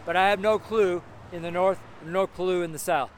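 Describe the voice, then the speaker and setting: A man's voice: high voice, fairly even intonation, moderate pace. A man in his seventies giving an informal, rambling street sermon in a strong, carrying voice, exclaiming and repeating phrases for emphasis. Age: 70s. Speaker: street preacher. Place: outdoors on a city street among buildings. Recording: outdoor street noise, traffic ambience.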